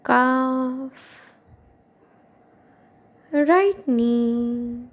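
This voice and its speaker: A woman with a fairly high voice leads a guided meditation. She speaks extremely slowly, in short soothing phrases, leaving long pauses between each instruction, in the style of a yoga nidra session.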